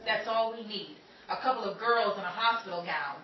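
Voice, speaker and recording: A woman's voice: mid-range voice, brisk, some chatter behind, natural voice. A woman playing an exasperated, sarcastic aspiring Hollywood dancer of the 1930s in an old-time radio comedy style. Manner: sarcastic, punchline-driven complaining. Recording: roomy, distant, dull, noisy amateur recording.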